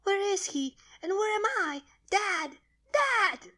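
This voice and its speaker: A woman's voice: very high voice, sing-song, slow pace, pushed and bright, voice pushed up, exaggerated voice. A woman voicing a frightened, grieving baby girl dragon who pleads and protests in a storybook reading. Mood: panicked.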